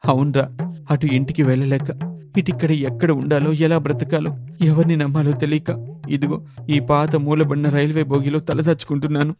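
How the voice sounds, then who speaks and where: A man's voice: on the high side, little pitch movement, normal speed, over music, close to an everyday voice. A man playing a downcast, swindled young husband who confides in a plaintive, self-pitying way. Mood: dejected.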